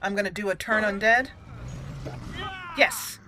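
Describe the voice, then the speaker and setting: Bright-voiced woman narrating her gameplay. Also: mid-range voice, fairly even intonation, a little slow, soft background music. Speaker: video game let's play host. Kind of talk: casual gameplay commentary.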